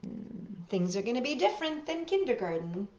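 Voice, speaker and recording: A woman hosting storytime, reading a picture book aloud in an expressive, exaggerated way with drawn-out words. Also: a little high, reverberant, dull audio. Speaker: children's storytime host and reader.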